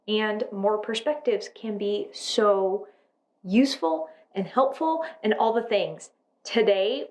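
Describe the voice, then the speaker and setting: A young woman from Texas with a light Texan accent, hosting a casual chat in a bubbly, enthusiastic way. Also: medium pitch. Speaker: host.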